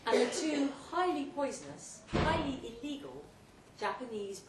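A woman giving an emphatic, deliberately phrased dramatic reading of a second-person short story at a live event. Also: high voice, very animated. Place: indoor pub event, before an audience. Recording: roomy live pub recording, distant mic, faint noise.

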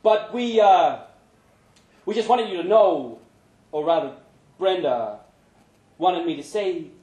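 A man voicing a nervous, conciliatory cowboy stepdad who fumbles haltingly for his words in a short story. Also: sits high, lively intonation, mellow, roomy sound, pitched above the performer's own voice. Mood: awkward, halting. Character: nervous, conciliatory cowboy stepdad, male country bar regular.

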